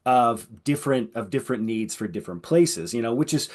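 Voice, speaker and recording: A man's voice: mid-range voice, animated, brisk, a little mellow. A man hosting a podcast, talking in a casual, chatty way that is full of "you know" and "right?". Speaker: podcast host. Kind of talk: casual conversational discussion.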